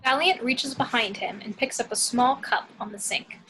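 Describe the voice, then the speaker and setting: A woman's voice: a little high, moderate pace. A woman reading action descriptions from a film script as scripted narration. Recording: clear background noise, home recording.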